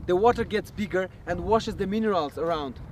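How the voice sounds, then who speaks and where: A man's voice: high-pitched, slight background noise. Hesitant Kazakh-accented male tour guide. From South Kazakhstan. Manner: slow, hesitant, many pauses. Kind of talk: explaining as a guide. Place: outdoors at a canyon.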